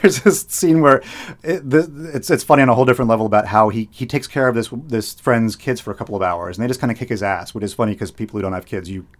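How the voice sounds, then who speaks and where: A middle-aged American man talking in a relaxed interview. He rambles and restarts a lot and laughs as he goes. His voice sits at an ordinary pitch for a man. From United States. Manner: rambling, many restarts and fillers, laughs often. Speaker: radio host, interviewee. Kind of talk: casual conversational interview.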